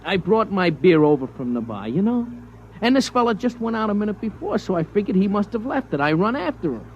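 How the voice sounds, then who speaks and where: A man's voice: sits high, very animated, a little fast, darkish tone. A man acting a nervous, evasive ordinary fellow in a 1950s radio drama, stammering and over-eager to please. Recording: muffled, hissy old radio broadcast.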